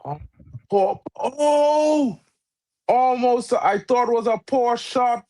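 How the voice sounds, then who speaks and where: A man hosting a live football watch-along, reacting loudly to chances and chatting with guests, English not his first language. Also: very high-pitched, takes it slowly. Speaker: watch-along stream host.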